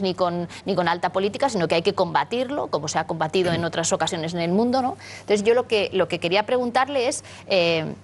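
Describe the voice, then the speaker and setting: A woman's voice: on the low side, a little hiss or hum. A woman from Catalonia speaking earnestly on a studio panel, restarting her sentences often and ending them with tag questions. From Catalonia, Spain.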